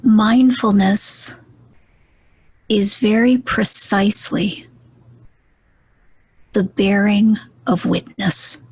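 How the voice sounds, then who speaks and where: Female meditation guide, very husky and breathy, unhurried and gentle, close dry sound. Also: medium pitch, no echo, poor audio. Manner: gentle, soothing, deliberate with pauses.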